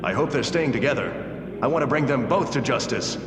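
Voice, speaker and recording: An actor playing a fearless, cocky young superhero who thinks aloud in a dramatic comic-book style. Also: male voice, medium pitch, lively intonation, fairly quick, background noise, natural voice. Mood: calculating. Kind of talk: dramatized comic-book read-along acting. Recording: loud music under the voice.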